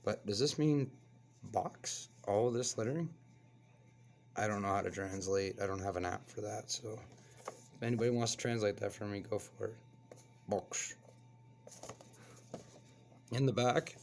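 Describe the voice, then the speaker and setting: A man with a hoarse voice from a cold, hosting a casual toy review and chatting as he unboxes. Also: fairly low pitch, measured pace, pauses often, a little hiss or hum. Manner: relaxed, chatty, explains as he handles the toy. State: hoarse, getting over a cold. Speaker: toy reviewer, channel host.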